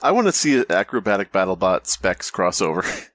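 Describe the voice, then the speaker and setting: A man's voice: deep voice, big pitch swings, fairly quick, a bit bright, no echo. A man hosting a comedy podcast with casual banter and a sarcastic, profane way of talking. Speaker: podcast host.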